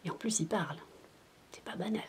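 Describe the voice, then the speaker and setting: A woman doing soft-spoken ASMR storytelling, playful and whispery, wandering into chatty asides as if to a child. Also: fairly low pitch, brisk, a little hiss or hum. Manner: playful babysitter addressing a child listener. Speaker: ASMR roleplay performer.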